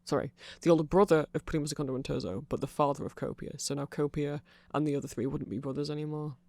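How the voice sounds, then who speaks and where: A performer voicing a nerdy, apologetic vampire shopkeeper in a casual ASMR roleplay, rambling hesitantly and excitably to a friend. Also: medium pitch, fast, vocal fry, no echo, natural voice. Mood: earnest, rambling.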